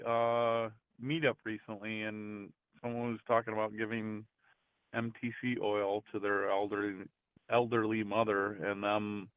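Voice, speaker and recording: Low-voiced man on a tinny phone line. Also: clean tone, dry recording. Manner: hesitant, full of restarts, animated when surprised. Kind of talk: casual chat.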